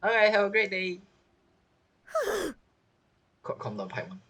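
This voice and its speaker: A woman's voice: medium pitch, wildly swooping pitch, measured pace, fairly bright tone, husky. A woman doing casual game playthrough commentary, informal and musing aloud as she reacts to the story. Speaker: gamer reacting to a story quest.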